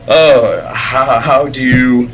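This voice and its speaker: A man's voice: mid-range voice, very slow, lo-fi sound. A man playing a mild, modest newspaper reporter, polite and slightly hesitant, in old-time radio acting. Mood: modest, bashful.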